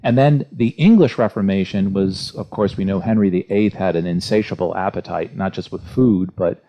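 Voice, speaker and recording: A man hosting a religious question-and-answer talk as a priest, speaking off the cuff and haltingly, often searching for words. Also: low voice. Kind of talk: unscripted church history discussion and reading listener questions. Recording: dull, muffled lo-fi audio.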